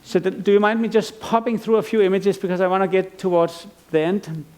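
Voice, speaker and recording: A man's voice: sits high, little pitch movement, a little fast, darkish tone. A middle-aged man from Iceland giving a conference lecture as an artist, thoughtful and rambling, with frequent restarts and fillers. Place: lecture stage.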